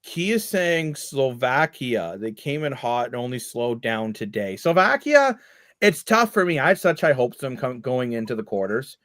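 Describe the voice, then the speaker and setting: Animated man hosting a podcast. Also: medium pitch, normal speed, no room sound, clean studio sound. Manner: animated, loud when riled up. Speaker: podcast host. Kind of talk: casual sports chat.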